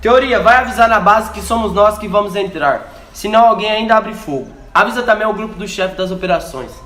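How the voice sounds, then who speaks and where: A man's voice: high voice, fairly quick, sharp tone. An actor playing a commanding male guerrilla leader in an amateur radio drama, projecting his orders. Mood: urgent, commanding. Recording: roomy, echoey amateur recording.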